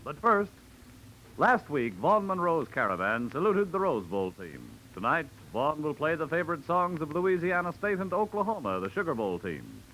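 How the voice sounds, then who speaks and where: A man's voice: a little high, big pitch swings, moderate pace, bright, forceful tone. An American man announcing in a polished, promotional 1940s network radio style. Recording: noisy old 1940s radio recording.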